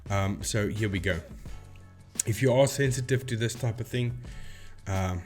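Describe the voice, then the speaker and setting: A man's voice: on the low side, a little slow, darkish tone, soft background music. A man hosting a video channel, explaining things informally and often stopping to rephrase himself. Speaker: host of a paranormal debunking video channel.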